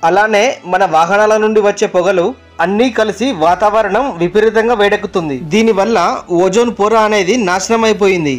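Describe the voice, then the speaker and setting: A man with a distinctly high voice narrates a facts video. He reads from a script in an explainer style, with soft music playing underneath.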